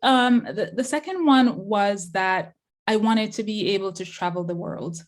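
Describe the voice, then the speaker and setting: Chatty Jamaican woman guest. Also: medium pitch. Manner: quick and chatty, many fillers. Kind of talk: casual interview chat.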